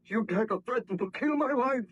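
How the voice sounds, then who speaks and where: Haunted baron scientist: anguished, formal, melodramatic. Vintage radio horror, dull sound with some hiss. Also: male voice, very high voice, fairly quick. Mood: horrified, defiant. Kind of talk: 1930s radio horror acting. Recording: muffled, noisy old radio recording.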